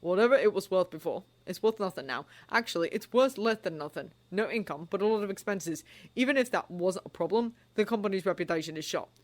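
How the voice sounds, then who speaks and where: A woman voicing a blunt, smug young wizard, talking in a flat, dismissive way. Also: mid-range voice, brisk, natural voice.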